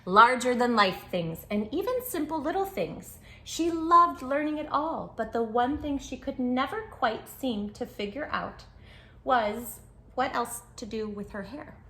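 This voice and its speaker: A woman's voice: on the high side, lively intonation, unhurried, bright, forceful tone. A woman hosting a children's storytime, reading aloud expressively with dramatic emphasis and speaking directly to young listeners. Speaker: children's book read-aloud host. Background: music.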